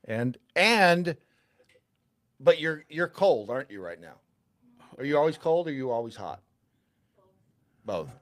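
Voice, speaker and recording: A man's voice: a little high, big pitch swings, a little slow, long pauses, fairly bright tone. A man in casual podcast banter, teasing and tossing in questions. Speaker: podcast panelist.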